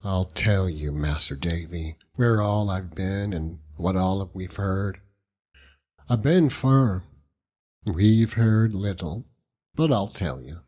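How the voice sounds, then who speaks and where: A man's voice: sits low, slow pace, darkish tone, slightly rough, voice pushed down, exaggerated voice. A man voicing a humble, devoted old fisherman with speech, earnest and plain-spoken, for an audiobook. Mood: grave, earnest. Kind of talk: audiobook character reading.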